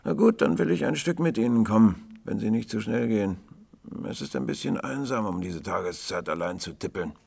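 A man voicing a frail, chatty eighteen-year-old vagrant boy with a hoarse, breathless voice, as in a storybook reading. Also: fairly low pitch, expressive, a little fast, a little mellow, voice pushed up, exaggerated voice. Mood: eager, friendly.

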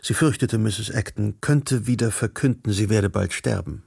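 A man's voice: lowish voice, moderate pace, gravelly, darkish tone, breathy edge, dry recording. A man narrating a radio drama as a composed literary storyteller, reading scripted prose.

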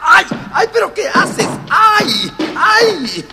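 An actor playing a nervous, boastful young fiddler in an audio storybook, stammering and repeating himself. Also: male voice, extremely high pitch, big pitch swings, extremely slow, shouting, full character voice. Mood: pained, alarmed. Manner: stammering, repetitive, exclamatory. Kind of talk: audio storybook character acting. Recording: long reverb, faint music behind.

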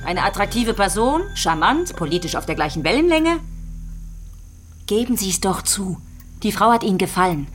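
An actress playing a shrewd homicide detective who provokes a suspect with insistent, sardonic questioning in a radio crime drama. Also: female voice, mid-range voice, big pitch swings, moderate pace, faint music behind, close to an everyday voice. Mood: needling.

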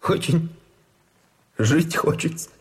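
Slow, plaintive soldier, a gentle schoolteacher. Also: male voice, a little high. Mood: wistful. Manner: halting, plaintive, repeats phrases. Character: frightened, gentle village maths teacher turned soldier. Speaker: actor in a radio drama. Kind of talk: Russian radio drama acting. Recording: music behind the voice.

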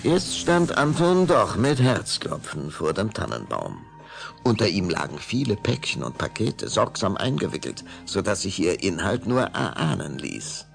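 A man narrating a scripted story dramatically, with measured phrasing and suspenseful pauses. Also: deep voice, sing-song, gravelly, soft background music.

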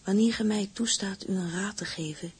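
A woman's voice: medium pitch, normal speed, a little mellow, husky. A woman playing a blunt, bossy but fond young letter writer, speaking intimately in a Dutch radio play. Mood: blunt, urging. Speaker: actress in a radio drama. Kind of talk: Dutch radio play, letter monologue in old-fashioned wording.

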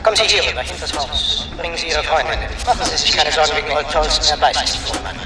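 An actor playing a breezy, eccentric householder reassuring visitors in a radio drama. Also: male voice, on the high side, fast, lightly acted. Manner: breezy, beckoning. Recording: roomy echo.

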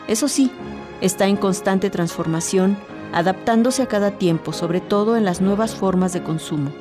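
A woman's voice: medium pitch, moderate pace. A woman narrating a scripted personal essay as a radio presenter. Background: music.

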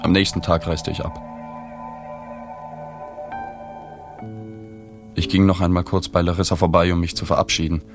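Deep-voiced, reserved journalist narrator. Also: male voice, little pitch movement. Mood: sober, reflective. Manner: measured, sober, understated. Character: reflective, reserved male journalist recalling a dying woman. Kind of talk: radio drama first-person narration. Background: music.

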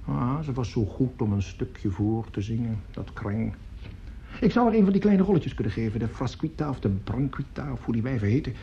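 An actor playing an excitable, coarse opera conductor in a radio play, effusive and grumbling, stammering and swearing as he talks. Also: medium pitch, normal speed, creaky, slight background noise. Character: excitable, coarse, opinionated male opera conductor at his piano. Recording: dull old radio recording, piano music.

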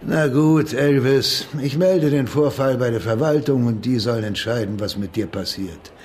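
A man's voice: mid-range voice, moderate pace, a little mellow, slight background noise, natural voice. An actor playing a pompous, condescending American police officer in a radio drama, patronising and emphatic in his delivery. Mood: gruff dismissal. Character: pompous, condescending male American cop lording it over underlings.

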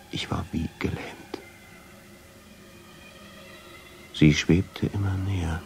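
A man playing a haunted first-person narrator in a radio drama, gravelly and breathy, telling his story in a hushed, deliberate way. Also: low-pitched, a little hiss or hum, exaggerated voice. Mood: hushed, fearful. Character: haunted male narrator uncovering old family letters.

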